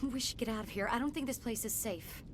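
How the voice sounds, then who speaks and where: A woman acts out a young female scientist for a sci-fi game. The voice is high, earnest and troubled by guilt. A faint rumble lies in the background. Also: quick pace, lightly acted. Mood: uneasy, remorseful. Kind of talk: video game voice acting.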